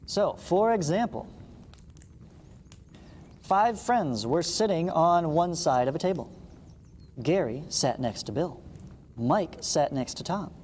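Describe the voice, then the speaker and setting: Mellow male teacher in an echoey room. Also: a little high, animated, slow, pauses often. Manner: explanatory, reading aloud with informal asides. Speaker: math teacher. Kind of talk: read-along textbook lesson with casual asides. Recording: reverberant room with background hiss.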